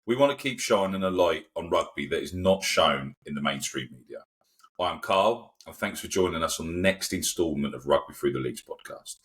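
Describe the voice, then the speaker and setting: A man's voice: sits low, lively intonation, a little fast, fairly bright tone, slightly rough. A man hosting a rugby podcast in an informal, chatty way with many fillers. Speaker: podcast host. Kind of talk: podcast interview.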